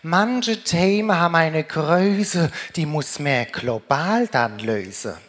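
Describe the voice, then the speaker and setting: Slow, low-voiced woman with Rhine-Hessian accent. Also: animated. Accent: Rhine-Hessian German. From Germany, Rheingau region. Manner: declaims in sing-song rhyming couplets. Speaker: carnival speaker. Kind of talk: rhymed humorous carnival speech in dialect.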